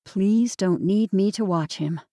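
A woman's voice: medium pitch, a little slow, warm tone, lightly acted. Defensive young woman, read by a woman narrator.

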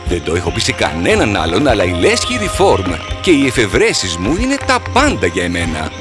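A man playing a composed, resolute English gentleman adventurer in a storybook, speaking calmly and formally. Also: lowish voice, over music. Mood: earnest.

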